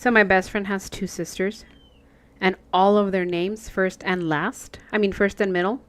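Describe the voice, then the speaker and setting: A woman's voice: medium pitch, steady tone, normal speed. A Mexican American woman whose first language is Spanish, chatting casually with her live chat while she stitches and laughing often. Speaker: cross-stitch livestream host.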